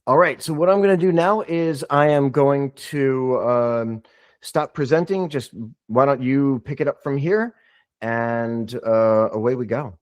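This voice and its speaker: Relaxed man hosting a video call. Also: mid-range voice, measured pace, clear, resonant tone, no echo. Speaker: host. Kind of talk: casual business video call.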